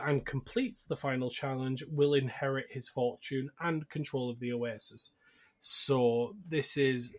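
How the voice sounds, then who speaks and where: A man with a mid-pitched voice hosts a casual film podcast. He talks informally, with a wry sense of humour, as he discusses and recaps. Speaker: podcast host.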